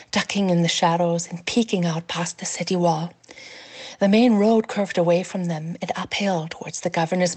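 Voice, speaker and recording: A woman reading a passage from her novel aloud with measured, expressive storytelling. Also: on the low side. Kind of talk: fiction reading.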